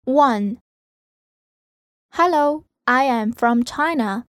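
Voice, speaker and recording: A woman with a high voice reads items for a language listening test. She takes her time, enunciating each word carefully and deliberately, in a dry recording with no room sound. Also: lively intonation, dry recording. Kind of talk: scripted language-test reading.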